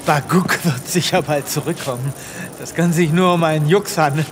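A man's voice: on the high side, moderate pace, slight background noise, lightly acted. A man playing a vain, pompous space veterinarian in a comic audio drama, with melodramatic delivery. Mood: forced cheer.

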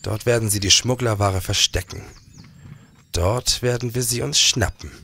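An actor playing a resolute, shrewd nineteenth-century police detective in a crime audio drama. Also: male voice, fairly low pitch, gravelly, pushed and bright, full character voice. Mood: assured. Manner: assured, deliberate.

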